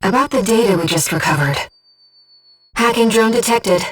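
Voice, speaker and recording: A woman voicing a calm, devoted, disembodied female guide in a video game, speaking softly and precisely as she supports a pilot on missions. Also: a little high, breathy edge, far from the mic, soft background music. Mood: composed, focused. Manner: measured, precise, earnest. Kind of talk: video game voice acting, tactical briefings.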